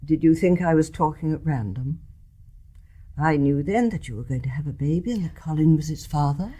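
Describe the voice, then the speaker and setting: An older woman with an English accent playing a wise, composed, forgiving mother-in-law in a radio drama, measured and frank. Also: low voice, animated, husky. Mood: gentle, understanding. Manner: measured, articulate, gently frank. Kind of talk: stage-play radio drama acting.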